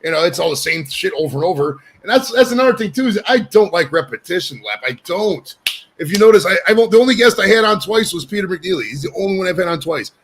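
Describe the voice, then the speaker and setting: A brash man in his forties hosts a podcast from his home studio. He talks quickly and rambles, cursing freely, and his voice sits a touch higher than most men's. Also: animated, a bit bright, a little hiss or hum. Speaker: podcast host. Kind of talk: casual chat.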